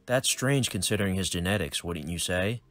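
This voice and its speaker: Guy doing a menacing mob boss, quiet and slow, low voice. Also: no echo. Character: menacing crime boss, older man, cold.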